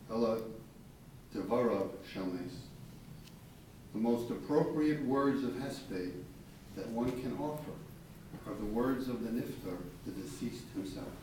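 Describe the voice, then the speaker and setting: Slow, deliberate man in an echoey room. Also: medium pitch, darkish tone. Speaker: eulogy reader. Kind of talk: solemn read-aloud eulogy. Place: large reverberant room at a memorial gathering. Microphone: distant microphone. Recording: echoey, distant, faint background noise.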